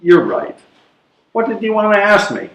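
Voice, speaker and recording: A man playing an amiable, evasive older gentleman in a readers theatre comedy, with expressive, drawn-out delivery. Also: sits high, full character voice. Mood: evasive, placating. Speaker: amateur readers theatre performer. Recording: roomy, echoing live stage recording.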